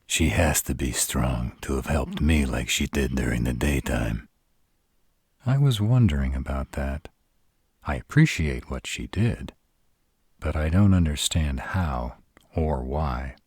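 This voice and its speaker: A man voicing an arrogant, brooding male vampire in a scripted audio roleplay, with slow, dramatic delivery and a rough voice. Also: expressive, darkish tone, no echo, clean studio sound, exaggerated voice. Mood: pensive. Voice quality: very deep, rough husky voice. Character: arrogant male vampires plotting, grave and cocky.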